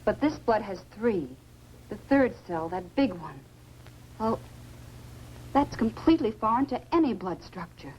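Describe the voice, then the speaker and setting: A woman's voice: medium pitch, sing-song, measured pace, long pauses, a little mellow, rough recording. A precise female doctor with a mid-range voice. She sounds serious and clinical, in 1950s science fiction film manner. Faint noise runs through the old soundtrack. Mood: clinical concern.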